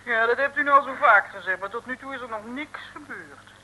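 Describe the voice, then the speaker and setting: A woman's voice: fairly high pitch, brisk, bright, forceful tone. An actress playing a pert, chatty female detective sidekick in an old radio serial. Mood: unimpressed. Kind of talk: 1950s-60s radio serial acting. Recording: noisy old radio broadcast recording.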